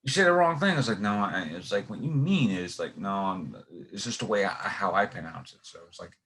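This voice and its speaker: A man with a Brooklyn accent hosts a podcast in a casual, rambling way, often circling back and restarting his questions. His voice sits somewhat low. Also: animated, brisk, a bit bright. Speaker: podcast host.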